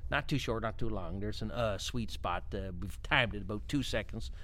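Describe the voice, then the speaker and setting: A man's voice: fairly low pitch, moderate pace, fairly bright tone, a little hiss or hum, lightly acted. Man playing a brash, bullying political spin doctor. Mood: smug, coaching. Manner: brash, bullying, interrupting, swearing. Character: pushy, foul-mouthed, cynical male political spin doctor. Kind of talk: satirical audio drama acting.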